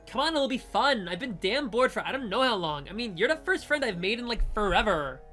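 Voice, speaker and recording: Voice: mid-range voice, very animated, fairly quick, bright, forceful tone, soft background music. A woman voicing a bored, eager young adult woman in a visual novel, talking in slangy valley girl style. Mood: perky, eager. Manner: slangy, casual, perky.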